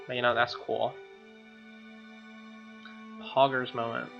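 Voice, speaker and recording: A man's voice: mid-range voice, level tone, takes it slowly, vocal fry. A young man reading game text aloud as a let's play host, with irreverent, slangy commentary. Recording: dull lo-fi mic, music behind. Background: game music with guitar.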